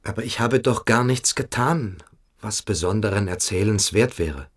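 An actor playing a submissive, evasive boarding-school pupil in a German radio play, hesitant and coquettish. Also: male voice, fairly low pitch, normal speed, husky. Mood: defensive, anxious.

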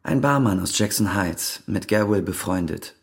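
An actor playing a dogged, suspicious police detective in a radio crime drama, dry and matter-of-fact, with a husky voice. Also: male voice, low-pitched, lively intonation, moderate pace, full character voice. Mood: businesslike.

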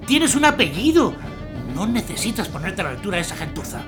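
An actress playing a scornful upper-class business owner who despises the poor, in a radio drama. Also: female voice, medium pitch, very animated, fairly quick, lightly acted. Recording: music behind dialogue.

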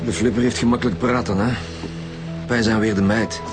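A man's voice: lowish voice, fairly even intonation, brisk, music in the background, close to an everyday voice. A man playing a grumbling, put-upon police detective with a sardonic manner and a Flemish accent in a radio drama. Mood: grumbling, scornful. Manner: grousing, sardonic. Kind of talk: naturalistic radio drama acting.

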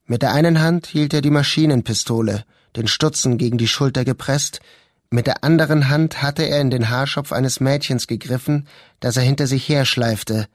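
A man's voice: medium pitch, normal speed, dry recording, studio quality. A man reading scripted literary narration as the narrator of a radio drama.

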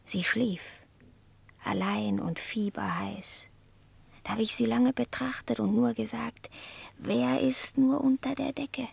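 A woman's voice: a little high, fairly even intonation, slow pace, vocal fry, darkish tone, husky, heavily acted. A woman playing a proud, jealous, cruel and mysterious cat in a 1960s German radio play, theatrical and sardonic, often whispery. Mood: hushed, eerie.

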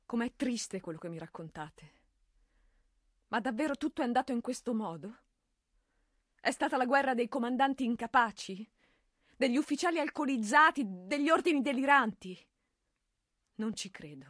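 A proud, sorrowful mother, pleads with emphatic feeling in a stage drama. The voice is fairly high and sharp-edged, recorded very dry. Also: normal speed, long pauses, dry recording. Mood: sorrowful, pleading. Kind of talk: dramatic theatrical acting.

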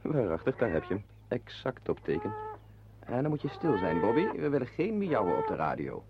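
A man's voice: mid-range voice, wildly swooping pitch, moderate pace, noisy background. An actor playing a wry, brooding henpecked husband in a Dutch radio play, confiding his musings to the listener. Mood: coaxing. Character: wry, brooding henpecked husband, animal-loving former artist. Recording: muffled, band-limited old radio play recording.

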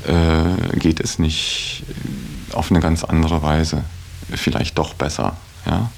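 A man's voice: a bass voice, flat delivery, takes it slowly, gravelly, slight background noise. A man giving a reflective interview in earnest, articulate phrases, hesitating often as he weighs his words. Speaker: interviewee in a radio feature.

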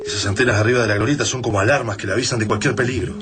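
A man's voice: lowish voice, fairly even intonation, racing, noisy background, lightly acted. A man acting a cautious, resolute resistance fighter in a radio drama, with a Rioplatense Argentine accent. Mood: wary, urgent. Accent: Rioplatense Argentine Spanish. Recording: loud music bed behind dialogue.

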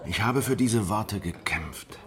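An actor playing a weary, wary middle-aged veteran of lost uprisings in a historical radio drama, reflective and confiding. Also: male voice, lowish voice, lively intonation, slow pace, noisy background. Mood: troubled, confiding.

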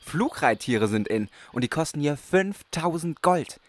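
A man's voice: on the high side, expressive, measured pace. A man playing an earnest but cheeky sixteen-year-old aspiring warrior in a comedic fantasy audio play. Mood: cheeky, indignant. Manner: earnest storytelling, slangy when challenged.

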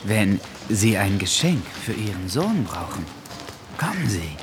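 An actor playing a melancholy, romantic Congolese gentleman in a radio play, speaking deliberately and reflectively with dramatic pauses. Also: lowish voice, very animated, noisy background. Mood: earnest, persuasive.

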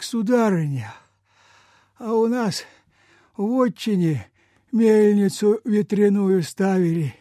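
An actor playing a frail, meek, sick old peasant in radio theatre, with humble, halting, sighing, breathless folk speech. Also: male voice, high-pitched, warm tone, dry recording, studio quality. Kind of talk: mid-20th-century radio theatre acting.

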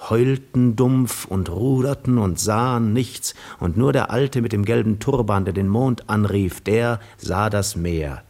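An actor playing a weary, dreamy seafarer who narrates his own voyage in measured, literary 1950s German radio drama style. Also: lowish voice, a little mellow, husky, exaggerated voice.

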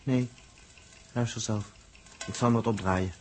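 A man's voice: lowish voice, steady tone, measured pace, lightly acted. An actor playing a curious, insistent spaceship crewman in a 1960s Dutch radio drama, informal and repetitive, with a Netherlands accent. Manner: informal, insistent, repeats words. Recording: noisy 1960s radio recording.

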